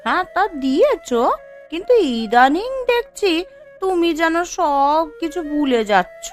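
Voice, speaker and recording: A woman's voice: high-pitched, big pitch swings, unhurried, soft background music. A woman voicing a well-off, demanding, haughty wife in a cartoon, with a scolding, boastful way of talking. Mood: nagging. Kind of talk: Bengali cartoon dialogue.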